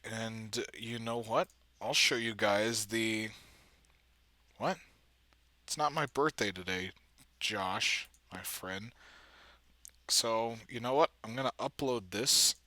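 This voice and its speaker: Male video creator, bright crisp delivery, dry close sound, casual screen-recording chatter, self-deprecating. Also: fairly low pitch, takes it slowly, long pauses, dry recording. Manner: self-deprecating, muttering asides while typing. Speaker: video creator, comic dub editor. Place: indoors at a computer.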